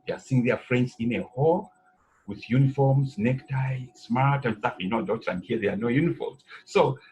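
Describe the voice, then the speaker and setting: A man's voice: mid-range voice, normal speed, darkish tone, rough recording. A middle-aged man from Zambia answering interview questions at length, full of fillers and asides. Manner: rambling, many fillers and "you know". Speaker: president of an education institute.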